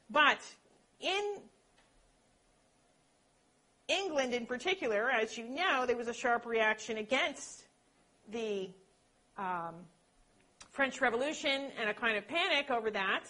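A woman lecturer with a bright, forceful tone and a voice pitched a touch high. She talks in an animated way and keeps checking in with her listeners as she explains. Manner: animated, peppers points with "okay?" tags. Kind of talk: lecture and Q&A answers.

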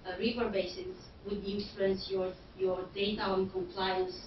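A woman's voice: mid-range voice, little pitch movement, unhurried. Hesitant woman asking from far off. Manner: hesitant, many fillers and restarts. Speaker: audience member asking questions. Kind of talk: academic question-time. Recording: distant, roomy, dull and noisy hall recording.

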